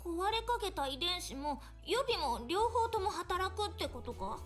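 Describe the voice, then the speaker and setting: A woman's voice: very high voice, expressive, fairly quick, fairly bright tone. A woman playing a brash, easily flustered tomboyish schoolgirl in a Japanese anime game. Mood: puzzled, curious. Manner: rough tomboyish speech, exclamatory. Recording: game audio with music. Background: game music.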